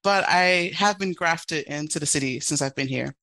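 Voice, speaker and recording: A woman's voice: sits low, moderate pace, sharp tone. A young woman from the Chicago area, answering interview questions as a podcast guest in an upbeat, playful way. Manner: upbeat, playful, laughs while talking. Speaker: guest, architectural designer.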